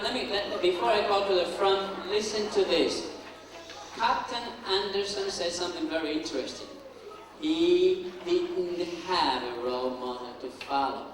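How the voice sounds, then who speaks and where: A man moderating a school assembly, slow and deliberate as he calls on people to ask questions. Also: high-pitched. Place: school auditorium. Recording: echoey hall from far off, noisy.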